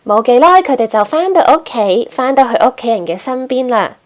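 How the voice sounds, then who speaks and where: Animated, high-pitched woman storyteller. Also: fairly quick. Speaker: storyteller and host. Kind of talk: expressive children's storybook narration.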